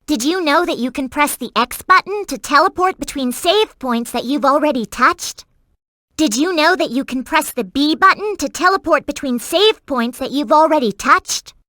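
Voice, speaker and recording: A woman's voice: high voice, lively intonation, moderate pace, bright, forceful tone, no room sound, clean studio sound. A woman voicing a perky, earnest and bossy young girl companion in a video game, with emphatic delivery. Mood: helpful, curious. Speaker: in-game hint-giving companion.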